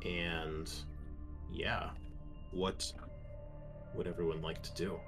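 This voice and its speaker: A man narrating casually as game master, improvising in a halting way. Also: low-pitched, little pitch movement, over music.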